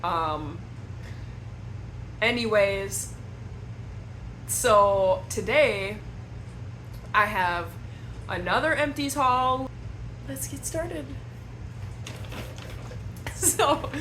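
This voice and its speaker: Slow-talking slightly hoarse young woman. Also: fairly high pitch, noisy background. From Wisconsin. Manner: rambling, chatty, self-deprecating asides. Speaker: beauty vlogger. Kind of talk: casual chat to camera. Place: at home indoors.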